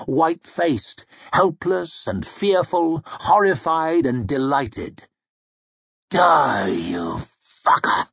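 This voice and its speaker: A man's voice: fairly high pitch, no echo, highs cut off. A man narrating fiction as an audiobook reader, dramatic, grave and suspenseful.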